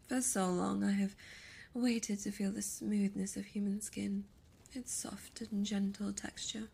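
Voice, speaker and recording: Breathy, seductive demon succubus, acted by a woman. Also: medium pitch, dark tone. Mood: longing, commanding. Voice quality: breathy, often slipping into whisper. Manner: formal, theatrical, teasing diction. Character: seductive, imperious female demon succubus, menacing yet doting. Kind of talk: ASMR roleplay, whispery dramatic monologue.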